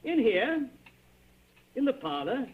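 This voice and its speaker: On a dull vintage radio recording, a controlling husband with an unusually high male voice and swooping intonation. He is coldly solicitous and taunting, giving orders in 1940s suspense-drama style. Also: sing-song, takes it slowly, full character voice. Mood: quietly menacing. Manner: commanding, needling with pointed questions. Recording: dull, muffled old-time radio recording.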